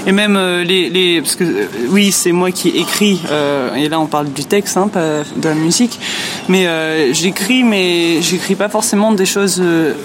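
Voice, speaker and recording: A woman whose first language is English, answering interview questions in French as a musician, pausing often to search for words. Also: on the low side, background noise.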